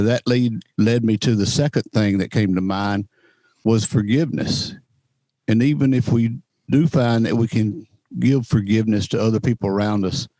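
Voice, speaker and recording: An older man with a low voice speaks plainly and earnestly as a talk show guest. The sound is dry and close. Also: warm tone, dry recording, poor audio.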